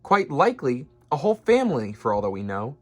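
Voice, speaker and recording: A man voicing an earnest, gentle, protective elephant in a storybook, with a pleading, emphatic manner. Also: mid-range voice, big pitch swings, brisk. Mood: pleading, sincere.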